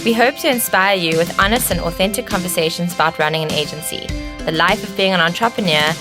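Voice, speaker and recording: A woman's voice: fairly low pitch, fast, over music. A South African woman in her thirties co-hosting a casual podcast, fluent and chatty, with frequent ums and small restarts. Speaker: podcast co-host, agency co-founder.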